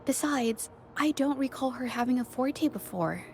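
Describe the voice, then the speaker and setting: An actress voicing a gentle, earnest young woman painter in a video game, speaking thoughtfully and politely. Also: high-pitched, animated, moderate pace. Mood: thoughtful, puzzled. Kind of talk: English video game voice acting.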